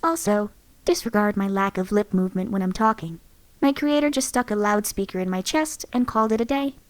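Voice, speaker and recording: A woman plays a secretive female companion from a game, keeping her distance and teasing whoever she's talking to. Her voice sits at an ordinary middle pitch and stays cool and guarded. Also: fairly quick, natural voice. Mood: wry. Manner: cool, guarded, slightly condescending. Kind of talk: game voice line previews.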